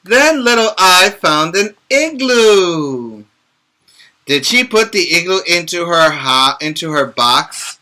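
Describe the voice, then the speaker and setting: A male teacher with a very bright voice reads a storybook aloud to preschoolers, pausing to explain and to ask the children questions. He talks very slowly and clearly, pitched slightly high, as if speaking to a virtual class. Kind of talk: reading a picture book aloud and teaching letter sounds to small children. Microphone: home video recording for virtual class.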